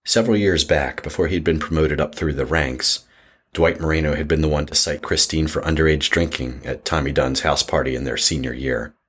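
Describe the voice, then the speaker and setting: A man narrates a scripted horror tale. His voice is exceptionally low, with a husky, rough grain throughout. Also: a little fast, a bit bright.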